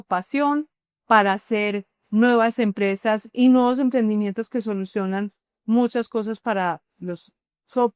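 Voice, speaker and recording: A woman's voice: on the high side, clean tone, no room sound, studio quality. A woman podcast host, introducing guests at length in a chatty way and affirming them as they talk. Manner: chatty, affirming listener, long framing introductions. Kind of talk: warm podcast hosting and interviewing.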